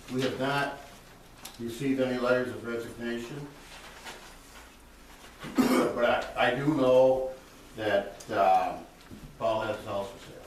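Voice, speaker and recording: A man with a lowish voice chairs a town meeting and answers in halting bits, pausing on fillers. He is far from the mic in a very echoey hall, with a faint hiss. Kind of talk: off-the-cuff reply at a public meeting. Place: town board meeting room.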